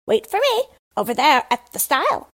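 A woman's voice: high voice, normal speed, voice pushed up. A woman voicing a chatty, boastful male crow in a storybook reading. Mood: bossy. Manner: lively, chattering and eager to gossip.

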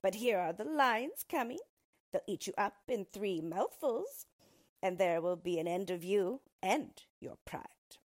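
A woman's voice: a little high, very animated, normal speed, darkish tone, pitched above the performer's own voice. A woman reading a storybook, voicing a malicious, boastful little male dwarf with a pompous, wheedling manner. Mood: angry.

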